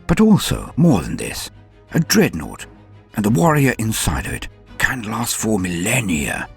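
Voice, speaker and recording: Man, lore show host, theatrical formal narration, very animated intonation, gravelly fry. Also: sits low. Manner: theatrical, formal and florid wording.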